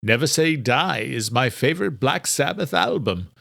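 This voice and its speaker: A man's voice: mid-range voice, expressive, normal speed, gravelly, fairly bright tone, no echo, studio quality, voice pushed up, exaggerated voice. A man voicing a kindly elderly doctor in an audiobook, with a genteel, wry, chatty manner. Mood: wry, reassuring.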